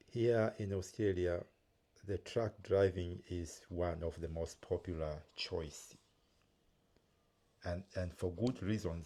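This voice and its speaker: A man hosting a channel, talking casually with plenty of fillers and restarts. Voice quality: many fillers and restarts, non-native phrasing. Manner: loud and high-pitched when speaking outdoors. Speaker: channel host. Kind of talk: casual vlog interview.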